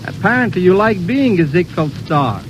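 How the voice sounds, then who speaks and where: High-pitched man on noisy vintage radio. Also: big pitch swings, normal speed, lo-fi sound. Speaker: orchestra conductor. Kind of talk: scripted 1930s radio interview. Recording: noisy, rumbling 1932 radio recording.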